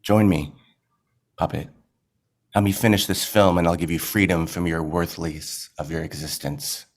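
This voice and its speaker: A man's voice: deep voice, steady tone, normal speed. A man playing an unhinged, manipulative film director in a screenplay table read, with a stammering, theatrical, erratic delivery. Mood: menacing, coaxing.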